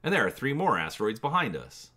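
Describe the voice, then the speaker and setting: A man voicing a roguish young male smuggler pilot, speaking in quick, wry, clipped remarks. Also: mid-range voice, big pitch swings, sharp tone, pitched above the performer's own voice. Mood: impatient.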